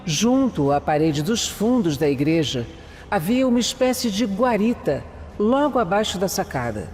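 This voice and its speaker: A man's voice: high voice, very animated, normal speed, a little mellow. A man with a Brazilian accent playing a methodical detective who narrates an audio drama. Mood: measured. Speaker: narrator and protagonist. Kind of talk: scripted first-person narration in an audio drama. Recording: long reverb, music underneath.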